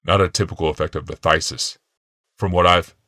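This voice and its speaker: A man voicing a composed, persuasive male physician in an audiobook, measured and smooth talking. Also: sits low, steady tone, bright, forceful tone, no echo, studio quality. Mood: cool.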